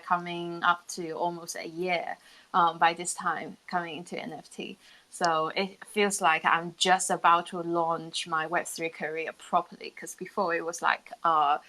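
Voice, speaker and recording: A woman's voice: lowish voice, sharp tone, poor audio. A woman musician co-hosting a casual live online panel, rambling and thinking aloud as she goes. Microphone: live audio chat room on a personal device.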